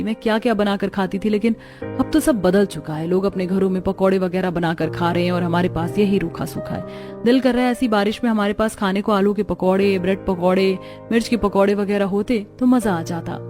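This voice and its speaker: A woman's voice: medium pitch, steady tone, fairly quick, a little mellow, natural voice. Woman acting a family-minded Indian cartoon housewife, music behind. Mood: longing. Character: warm, family-minded young Indian daughters-in-law and older women in-laws. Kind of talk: Hindi cartoon story dialogue.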